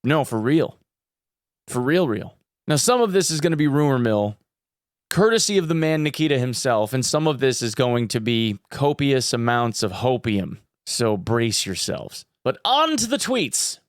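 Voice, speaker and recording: A man's voice: mid-range voice, expressive, moderate pace, no room sound, clean studio sound. A man hosting a gaming news video in a chatty, informal way with playful emphasis. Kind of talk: casual news commentary with sponsor read.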